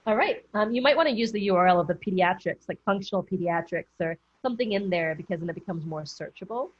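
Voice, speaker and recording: A woman's voice: lowish voice, lively intonation, fast talker. A woman mentor giving chatty, encouraging coaching feedback on a video call, often correcting herself mid-thought. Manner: chatty, encouraging, thinks aloud with self-corrections. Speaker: business mentor running a group coaching call. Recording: dull, muffled video call audio.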